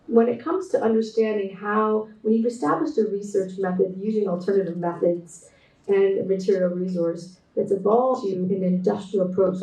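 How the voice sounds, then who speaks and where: Mellow-voiced Canadian woman giving a keynote. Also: medium pitch. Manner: reflective, measured delivery with frequent restarts. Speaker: keynote speaker, textile designer. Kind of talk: conference keynote presentation. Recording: roomy echo, voice distant from mic.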